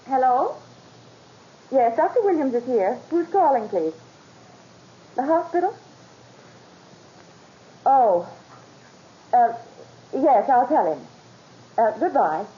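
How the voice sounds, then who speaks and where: Anxious devoted wife, gentle insistence, soft mellow tone, vintage radio acting, dull noisy recording. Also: female voice, high-pitched, sing-song, takes it slowly, long pauses, slightly rough, heavily acted.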